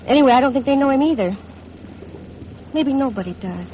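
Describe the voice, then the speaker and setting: An actress playing an insecure, wistful young American bride in a 1950s radio drama. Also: female voice, sits high, animated, a little fast. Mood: hurt, wistful. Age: young adult. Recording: faintly noisy vintage radio recording.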